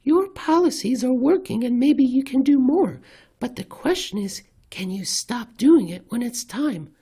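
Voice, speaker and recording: A man's voice: very high-pitched, animated, measured pace, warm tone, husky, voice pushed up, full character voice. A man voicing a news correspondent asking pointed questions in an exaggerated manner, as part of a read-aloud commentary. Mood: pointed questioning.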